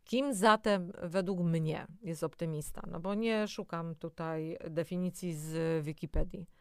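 A woman's voice: medium pitch, smooth, dry recording, studio quality. A woman co-presenting educational health material, explaining informally and speaking directly to the listener between scripted passages. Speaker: co-presenter of a health audio programme. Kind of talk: scripted educational narration with conversational asides.

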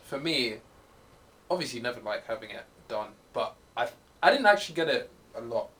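A young man chatting casually with friends, animated and often breaking into laughter. He sits a little back from the microphone. A faint hum of room noise runs under his voice. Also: mid-range voice, a little fast, far from the mic. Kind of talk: casual chat among friends.

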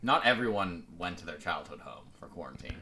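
A man hosting a casual podcast from an attic, teasing and quick to push back on what his co-host says. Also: deep voice, very animated, creaky, pushed and bright. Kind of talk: casual chat.

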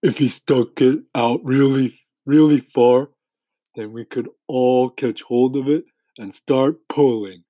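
A man voicing a gloomy, complaining earthworm with a drawn-out delivery in a storybook reading. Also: medium pitch, fairly even intonation, mellow, no room sound, voice pushed up. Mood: scheming. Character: gloomy male earthworm musing on odd schemes.

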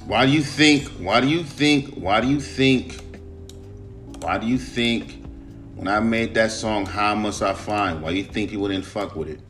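A man with a smooth baritone voice, hosting a casual solo podcast and ranting about his own music, swearing often. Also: moderate pace. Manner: animated, swears often, jumps to a high voice when mimicking fans. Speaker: podcast host and rapper. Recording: roomy room with guitar music behind.

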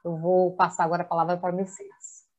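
A woman's voice: on the low side, level tone, a little fast, a little mellow. A woman with a Brazilian accent informally introducing an online panel as moderator, often adding little tag questions. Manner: conversational, frequent tag questions.

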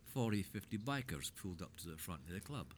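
An older man telling his life story in a casual chat, rambling through animated anecdotes with plenty of swearing. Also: low voice, a little fast, slight background noise.

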